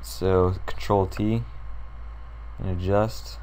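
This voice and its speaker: A young man with a sore, hoarse throat and a deep, low voice talks through a computer design tutorial. He rambles and thinks aloud with plenty of ums and uhs while he clicks along. A faint hiss sits under the recording. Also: level tone, takes it slowly, a little mellow. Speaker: tutorial creator.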